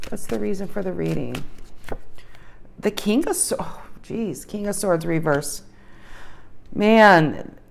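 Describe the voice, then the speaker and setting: A woman's voice: medium pitch, vocal fry. A woman giving a casual tarot reading to her viewers, thinking aloud and repeating her questions as she pulls cards, her voice slightly worn. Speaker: tarot reader.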